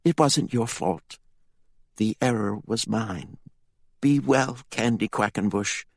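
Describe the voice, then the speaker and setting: A man voicing a grieving sorceress mother who speaks softly and solemnly through tears. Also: fairly low pitch, slow pace, creaky, dry recording, studio quality, voice pushed up, full character voice. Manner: soft, solemn, tearful. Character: grieving powerful sorceress mother, stern and tearful.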